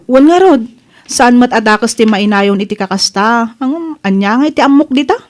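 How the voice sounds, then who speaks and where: Woman acting a cautious, very high-voiced Ilocano housewife. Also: animated, normal speed, natural voice. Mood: wary. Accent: Filipino, Ilocano. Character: modest, cautious village housewife, wary and polite. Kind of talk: Ilocano radio comedy drama acting.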